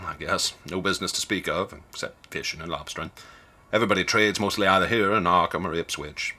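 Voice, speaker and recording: Folksy older ticket clerk in a radio play, real low bright forceful voice, wary small town gossip, rambles on. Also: male voice, exaggerated voice. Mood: gossipy, musing. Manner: folksy, rambling, rustic colloquial speech. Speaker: ticket agent. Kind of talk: radio drama dialogue.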